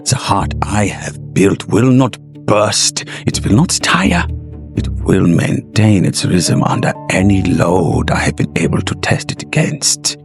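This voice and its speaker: A man voicing a proud, fervent middle-aged inventor professor with halting, deliberate phrasing in a gothic audio drama. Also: low-pitched, animated, vocal fry, faint music behind, exaggerated voice.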